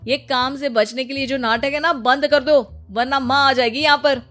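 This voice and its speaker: A woman's voice: high voice, steady tone, normal speed, a bit bright, soft background music. A woman voicing a nagging, exasperated young husband in a cartoon family story, scolding and insistent. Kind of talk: Hindi cartoon story acting.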